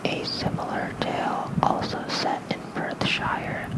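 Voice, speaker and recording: A hushed, breathy whisper reads bedtime lore in an ASMR style. The delivery is slow and gentle, spoken softly and close to a fluffy microphone. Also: rough recording. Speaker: ASMR creator reading aloud. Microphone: close microphone with fluffy windscreen.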